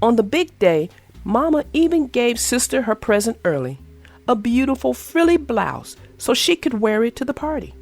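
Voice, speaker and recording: A woman reading a children's picture book aloud in an expressive, sing-song storytelling manner. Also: fairly high pitch, moderate pace, faint music behind. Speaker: storybook reader. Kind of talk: children's read-aloud narration.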